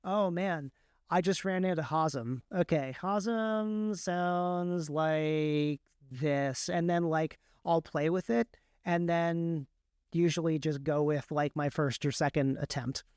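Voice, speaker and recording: A man's voice: on the high side, takes it slowly, darkish tone, smooth, no echo, studio quality. A man speaking casually as an interview guest, explaining his craft and often repeating words mid-sentence. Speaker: guest, author and audiobook narrator.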